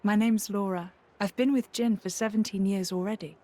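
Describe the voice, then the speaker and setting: A woman's voice: medium pitch, steady tone, moderate pace, warm tone, natural voice. A woman playing an earnest, modest young commoner in a video game, polite and sincere with a slightly hesitant manner. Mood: curious, friendly. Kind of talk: video game cutscene acting.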